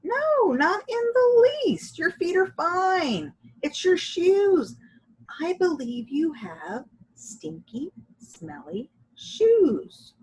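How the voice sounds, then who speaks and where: A woman acts out a smitten, sweet-natured storybook lady. The voice is very high and swings up and down, with theatrical emphasis on each phrase. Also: big pitch swings, very slow, darkish tone, full character voice, rough recording. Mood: reassuring.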